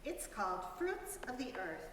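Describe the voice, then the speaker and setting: A woman playing a young boy who narrates a storybook in a measured, descriptive manner. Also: on the high side, sing-song, a bit bright, a little hiss or hum. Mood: earnest describing. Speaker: reader in a dramatic story reading. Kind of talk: dramatic storybook reading. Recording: very echoey hall, distant, faint ambience.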